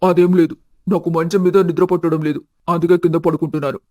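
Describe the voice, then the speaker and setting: Guy doing a soft sincere young husband, even tone, dry close sound, cartoon family story. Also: fairly high pitch, fast talker, no room sound, lo-fi sound, close to an everyday voice. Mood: evasive. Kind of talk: animated family drama dialogue.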